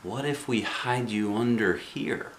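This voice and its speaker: A man voicing a weary, patient middle-aged school principal in a children's storybook, speaking in a measured, dry way. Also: on the low side, reverberant, lightly acted, poor audio. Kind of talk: children's storybook read aloud.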